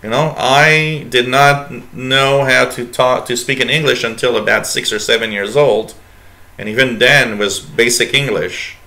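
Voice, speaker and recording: A French-speaking man from Quebec hosts a live stream in English with a noticeable French accent. His voice is bright and forward, in the middle of the male range. He drifts from one topic to the next, with plenty of "uh" and "um" along the way. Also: medium pitch, steady tone, unhurried, poor audio. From Quebec, Canada. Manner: rambling, reads chat messages aloud, many fillers. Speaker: radio show host. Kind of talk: casual live-stream chat.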